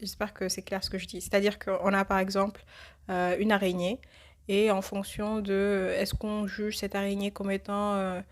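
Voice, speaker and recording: A woman with a cold hosting a reflective religious podcast, speaking thoughtfully and pausing often with fillers. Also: mid-range voice, level tone. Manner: hesitant, many filler pauses. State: has a cold. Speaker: podcast host.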